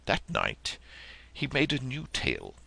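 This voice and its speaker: A man narrating a literary story as an audiobook, with an expressive, dramatic storytelling manner. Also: medium pitch, a little slow, gravelly, no echo.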